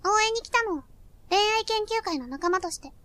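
A woman playing a self-assured, teasing high school girl, an older club member in a voice drama, with a knowing manner. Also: lively intonation, normal speed, a bit bright, no room sound. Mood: breezy. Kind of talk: Japanese voice drama acting.

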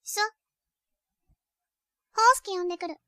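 A woman playing a small, blunt, aloof second-year high school girl with a curt, bossy way of talking, in a youth voice drama. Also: moderate pace, sharp tone, no room sound, clean studio sound. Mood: curt, challenging. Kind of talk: Japanese youth romantic comedy voice drama.

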